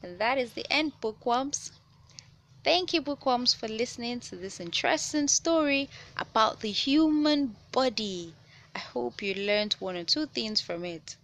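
A woman reading a children's science picture book aloud, with measured, clear phrasing for young listeners. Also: on the high side, fairly bright tone. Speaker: children's read-aloud host. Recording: noticeable background noise.

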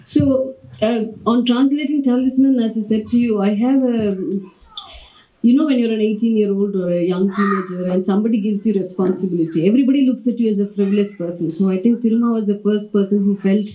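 Reflective woman with a dark, mellow voice. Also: mid-range voice, a little fast, low-quality recording. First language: Tamil. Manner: reflective, frequent "you know" fillers, self-correcting. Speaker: panelist, writer and translator. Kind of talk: panel discussion, thoughtful speech. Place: large thatched-roof hall at a panel table.

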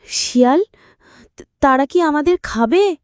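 A woman's voice: high voice, expressive, slow pace, darkish tone, voice pushed up. A woman voicing a lazy, timid, imaginative rabbit in a children's cartoon, with a whiny, playful manner. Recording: roomy echo with faint music.

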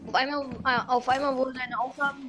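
A woman's voice: high-pitched, little pitch movement, a little fast. A woman chatting casually as a co-player in a game, blunt and slangy. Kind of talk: casual gaming voice chat. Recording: noisy gaming voice chat.

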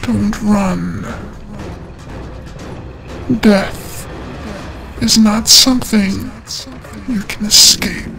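A woman using a voice changer to play a creepy female killer spirit in a horror game, talking in a slow, sing-song, menacing way with sudden gasps. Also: mid-range voice, gravelly, fairly bright tone, full character voice. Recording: game music behind the voice.

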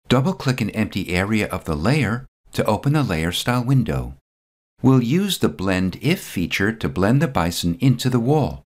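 A man reading a scripted step-by-step software tutorial voice-over, calm and methodical. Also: on the low side.

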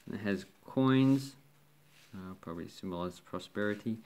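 Aussie guy showing off old banknotes, kinda low voice, um and uh everywhere. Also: normal speed, a little mellow. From Australia. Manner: rambling, hesitant, many fillers and restarts. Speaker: coin and banknote collector. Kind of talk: casual show-and-tell commentary.

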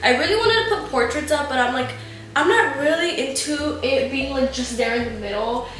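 A woman's voice: sits high, lively intonation, moderate pace, fairly bright tone, echoey room, soft background music. A young woman in her twenties chatting casually to her camera at home, venting and swearing a lot. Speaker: lifestyle vlogger. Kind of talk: casual storytime vlog. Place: bright living room and kitchen at home.

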